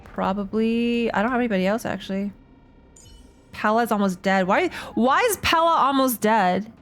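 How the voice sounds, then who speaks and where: A woman's voice: fairly high pitch, normal speed, faint music behind. A woman live-streaming a game, chatting casually and thinking aloud in a rambling, slangy way. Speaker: streamer playing and reacting to a game.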